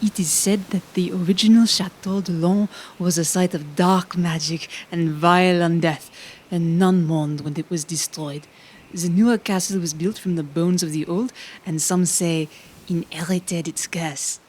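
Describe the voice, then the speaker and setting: Theatrical, lowish-voiced guide. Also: female voice, fairly even intonation, unhurried, darkish tone, breathy edge. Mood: ominous, formal. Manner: theatrical, ornate storytelling. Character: mysterious, formal Frenchwoman castle guide. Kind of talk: audio drama acting.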